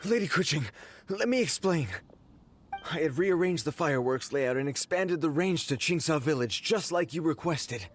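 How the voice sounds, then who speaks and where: A man's voice: a little high, expressive, moderate pace, close to an everyday voice. A man voicing an anxious, deferential festival organizer in a video game, earnest and flustered as he explains. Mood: worried. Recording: music playing behind.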